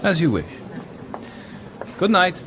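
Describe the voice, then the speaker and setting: Snooty logical husband guy, audio drama, dry n skeptical, very expressive pitch, slight bg noise. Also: slow pace, full character voice. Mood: coolly dismissive. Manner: pompous, articulate, dry. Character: skeptical, haughty intellectual husband, coolly logical and dismissive.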